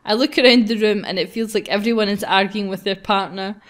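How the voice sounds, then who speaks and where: A woman's voice: mid-range voice, steady tone, a little fast. A woman hosting a gaming video, reading a visual novel aloud and breaking into casual banter.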